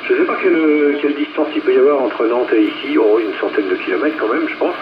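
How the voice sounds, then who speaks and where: Man, amateur radio operator, casual chat, muffled sound, loud static, mid-pitched voice. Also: quick pace. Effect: two-way radio transmission. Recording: muffled, very noisy radio transmission.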